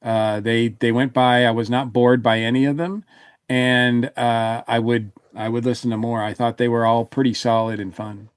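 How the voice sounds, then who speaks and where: A man's voice: medium pitch, little pitch movement, moderate pace, clean tone, no echo, clean studio sound. A man in a casual panel discussion, talking as a podcast reviewer in a rambling way, often trailing off and rephrasing.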